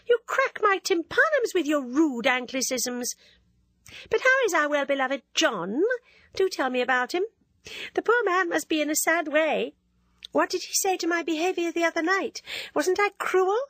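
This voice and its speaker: A woman's voice: very high voice, animated, normal speed, fairly bright tone, no echo, voice pushed up. A woman voicing a vain, teasing young woman who gushes and drops French words into her talk. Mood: gushing.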